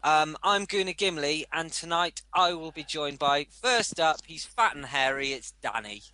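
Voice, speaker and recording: A man hosts a casual football podcast in a very sharp, forward voice. The sound is close and dry, and his delivery is sweary, sarcastic and full of grumbling. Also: on the high side, animated, normal speed, smooth, dry recording. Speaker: podcast host.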